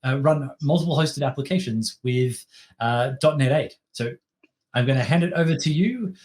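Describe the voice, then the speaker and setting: A man's voice: mid-range voice, normal speed, a little mellow. A man hosting an event, introducing a speaker informally in a hesitant, stop-and-start way.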